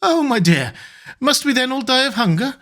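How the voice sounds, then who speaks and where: A man voicing a fretful elderly female servant in a high, plaintive voice. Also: sing-song, moderate pace, a bit bright, heavily acted.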